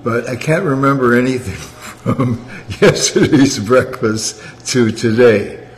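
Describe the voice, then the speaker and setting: A man's voice: mid-range voice, takes it slowly, big-room sound, a little hiss or hum. Rambling man in his eighties. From Canada. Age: 80s. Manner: rambling, tags sentences with "okay?". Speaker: guest of honor on a family livestream. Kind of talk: casual chat.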